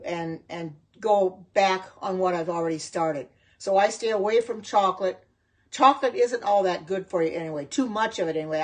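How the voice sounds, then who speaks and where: A middle-aged woman from the United States, chatting casually in her home kitchen on a livestream, rambling and answering viewers' comments. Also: mid-range voice. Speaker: home cook and vegan livestreamer.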